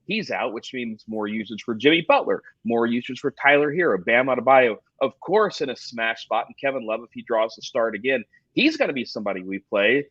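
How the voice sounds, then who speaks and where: Upbeat, lively man hosting a sports show. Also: brisk, few pauses, breathy edge, no echo. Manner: upbeat, energetic pitchman delivery. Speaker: podcast host. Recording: dull lo-fi sound.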